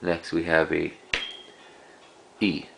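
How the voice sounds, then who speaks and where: A man giving a casual, unscripted show-and-tell of a collection in a halting, rambling way. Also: very deep voice, monotone, gravelly, background noise. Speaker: cassette collector presenting a collection.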